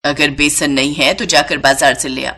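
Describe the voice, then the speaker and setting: A woman's voice: deep voice, fairly even intonation, moderate pace, pushed and bright, voice pushed down, exaggerated voice. A woman as a scolding older mother-in-law. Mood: scornful. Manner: scolding, taunting. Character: stern, scolding older Indian mother-in-law, scornful and bossy.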